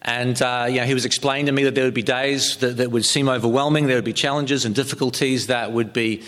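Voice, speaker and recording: A man from New Zealand with a New Zealand accent, a pastor giving a sermon in a halting, conversational way with many ums and restarts. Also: mid-range voice, fairly even intonation, fairly bright tone. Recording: long hall reverb.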